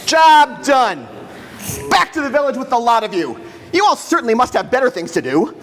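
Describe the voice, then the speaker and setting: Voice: mid-range voice, big pitch swings, normal speed, fairly bright tone. A performer in amateur readers theater playing a vain, petulant king with a pompous, imperious manner. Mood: gloating. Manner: pompous, imperious, swooping exclamations. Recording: echoey hall, faint background noise.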